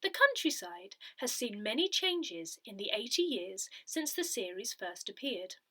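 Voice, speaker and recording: A woman reading a parish newsletter and its notices aloud from a script, measured and careful with each detail. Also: on the high side, big pitch swings, pushed and bright. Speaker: reader of a parish newsletter audio edition.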